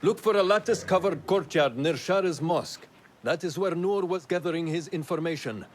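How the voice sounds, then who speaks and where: An actor playing a practical veteran assassin in a video game, talking in a calm, measured, matter-of-fact way. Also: male voice, fairly high pitch, slight background noise, lightly acted. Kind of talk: dramatic video game acting.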